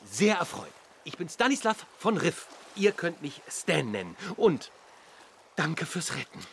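An actor playing a timid, polite ship's clerk, stammering and easily alarmed, in a children's radio play. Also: male voice, fairly high pitch, big pitch swings, a little slow, creaky, slight background noise, exaggerated voice.

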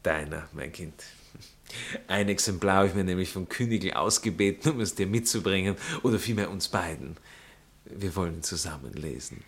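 Snooty baron, lowish voice, dry polite irony, stage comedy. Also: male voice, normal speed. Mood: soothing, superior. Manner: clipped courtesy, hesitant interjections, pointed irony. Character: jealous aristocratic baron, drily polite and condescending. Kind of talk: theatrical stage comedy dialogue.

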